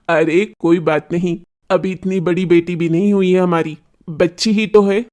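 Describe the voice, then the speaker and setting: A man playing an indulgent, easygoing middle-aged father in a children's cartoon story, gentle and reassuring. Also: sits high, animated, slow pace.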